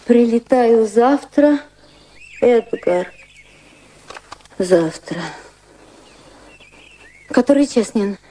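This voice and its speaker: An actress playing an anguished, proud high-society lady in a radio drama, with a dramatic, troubled manner. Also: expressive, extremely slow, long pauses, dark tone, slight background noise. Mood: uneasy.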